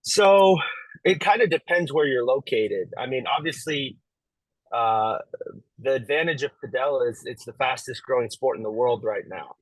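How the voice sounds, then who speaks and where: A young man from Las Vegas, a pro pickleball player, chatting as an interview guest in a relaxed, easygoing way. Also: mid-range voice, moderate pace, dry recording, low-quality recording. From Las Vegas, USA. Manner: relaxed, easygoing, lots of fillers and light laughs. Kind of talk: casual interview talk.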